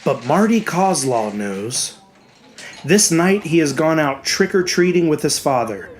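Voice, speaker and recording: A man reading a horror story as a dramatic audiobook narrator, in a measured, expressive way with deliberate pauses. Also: on the high side, a little hiss or hum. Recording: music bed under the voice. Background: background music.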